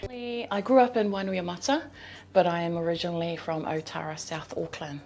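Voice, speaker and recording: A young woman from New Zealand, a mental health worker, introducing herself and her role in an earnest, measured way with small fillers. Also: on the low side, fairly even intonation, vocal fry, a little mellow. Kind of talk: scripted introduction to camera.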